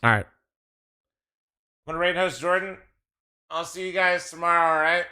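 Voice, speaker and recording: A man's voice: a little high, normal speed, bright, forceful tone, clear, resonant tone, no room sound. A young man streaming a game, tired and losing his voice, swearing casually in a laid-back way. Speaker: streamer playing with teammates. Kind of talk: casual gaming stream chat.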